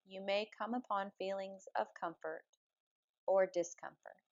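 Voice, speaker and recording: A woman leading a guided yoga nidra relaxation as the teacher, speaking in a calm, measured, soothing manner. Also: medium pitch, lo-fi sound. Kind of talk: guided meditation, slow spoken relaxation instruction.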